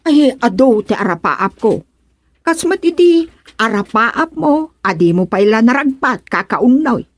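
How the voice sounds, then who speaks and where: Woman acting a higher-voiced, teasing village councilwoman, close and dry. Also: animated, measured pace, dry recording. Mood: scornful, teasing. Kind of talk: Ilocano radio comedy drama acting.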